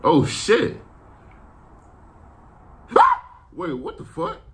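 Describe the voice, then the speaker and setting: A man's voice: fairly high pitch, huge pitch swings, drawn-out words, vocal fry. A man in his twenties giving casual, slangy, profane reaction commentary as host of a video. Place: small room indoors.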